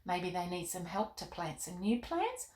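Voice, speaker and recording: A woman hosting children's storytime, narrating expressively and chatting to young listeners in an animated, encouraging way. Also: on the low side, unhurried, echoey room. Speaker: children's author and storytime host.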